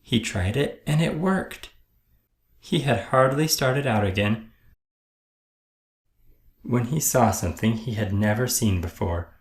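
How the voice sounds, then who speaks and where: A man reading a children's story aloud in an expressive, deliberate way. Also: fairly low pitch, reverberant. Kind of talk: children's read-aloud narration.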